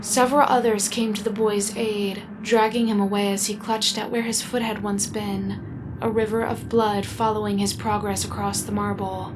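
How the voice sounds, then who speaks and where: A woman's voice: mid-range voice, level tone, moderate pace, background noise. A woman narrator reading a scripted dramatic story for an audio fiction serial.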